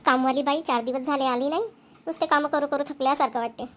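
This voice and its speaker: Woman acting a high-pitched, grumbling young daughter-in-law. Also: fairly even intonation, fast, close to an everyday voice. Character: complaining, overworked young daughter-in-law in a household. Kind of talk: scripted family comedy cartoon voice acting.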